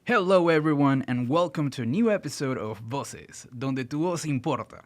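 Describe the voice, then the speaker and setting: A man's voice: mid-range voice, animated, moderate pace, faint music behind. A man interviewing guests on site at a summit. He reacts in short, upbeat bursts between questions.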